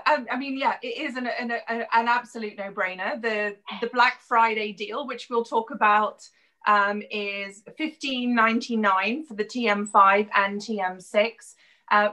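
A woman's voice: on the high side, fairly bright tone, distant mic. A middle-aged woman hosting a live online cooking class from her home kitchen, chatty with many fillers and restarts. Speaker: host and team leader. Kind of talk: live video call cooking class introduction. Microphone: laptop or phone camera at a distance.

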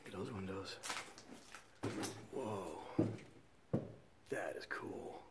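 Deep gravelly man whispering. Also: extremely slow, very noisy. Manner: often whispering, awed exclamations. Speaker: urban explorer filming. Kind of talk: hushed walkthrough commentary. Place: derelict school buildings indoors.

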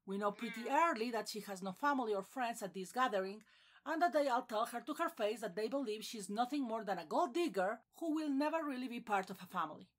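A woman's voice: a little high, animated, quick pace. A woman delivering scripted film commentary as a video essayist, with emphatic delivery and wry asides.

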